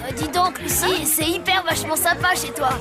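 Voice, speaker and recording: A woman's voice: extremely high pitch, fairly quick. A woman voicing an earnest, loyal young zombie boy in a cartoon, plain-spoken and slangy. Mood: friendly. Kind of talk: animated cartoon acting. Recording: loud music behind the voice.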